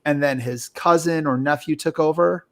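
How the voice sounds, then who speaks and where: Higher-pitched man hosting a casual review. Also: moderate pace, fairly bright tone. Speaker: podcast host. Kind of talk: casual film review discussion.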